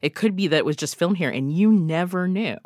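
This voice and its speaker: A woman's voice: fairly low pitch, a little fast, a little mellow, no echo, clean studio sound. A woman, a guest contributor, chatting enthusiastically on a radio show about local events, often saying "like.". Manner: enthusiastic, chatty, uses "like" a lot. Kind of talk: casual radio chat.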